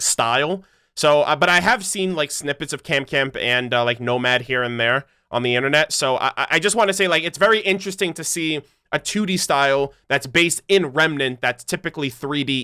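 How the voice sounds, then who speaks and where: A man's voice: mid-range voice, pushed and bright, clean tone. A man hosting a reaction video, giving casual, slangy commentary full of exclamations.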